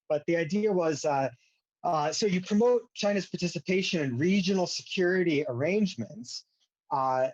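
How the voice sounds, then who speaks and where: A man giving an informal academic lecture as a university lecturer, with a wry, sarcastic bent and a halting, hesitant delivery. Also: mid-range voice, darkish tone. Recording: dull lo-fi audio.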